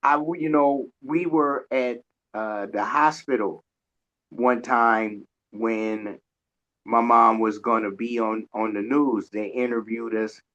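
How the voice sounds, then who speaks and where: Slow-talking man, dull lo-fi sound. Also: mid-range voice, steady tone, a bit bright. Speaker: radio show co-host. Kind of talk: casual conversational interview, anecdotes. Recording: dull, lo-fi audio.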